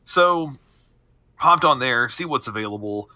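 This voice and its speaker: A man's voice: mid-range voice, expressive, unhurried, bright, forceful tone. A man co-hosting a podcast, rambling through casual banter and laughing often. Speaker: podcast co-host.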